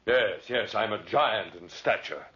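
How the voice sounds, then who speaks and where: An actor in a 1940s radio drama playing a huge, ugly, bitter hermit who speaks with slow-burning, ominous menace. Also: male voice, fairly low pitch, fairly bright tone, slightly rough, full character voice. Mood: bitter, brooding. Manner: deliberate, ominous, theatrical delivery. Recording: dull, muffled 1940s radio recording.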